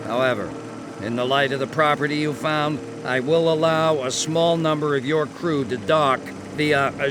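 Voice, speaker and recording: A man's voice: fairly high pitch, noisy background, close to an everyday voice. A man playing a stern station marshal. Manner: clipped, official radio-message delivery. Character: stern male station marshal, middle-aged, formal and guarded.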